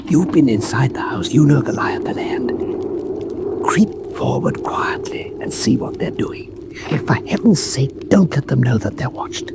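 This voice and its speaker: Detective on a stakeout, creaky hushed bossy voice, radio drama, loud noise all over it. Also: male voice, medium pitch, lively intonation, a little slow. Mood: hushed, urgent. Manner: hushed, urgent, commanding. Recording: very noisy radio drama recording.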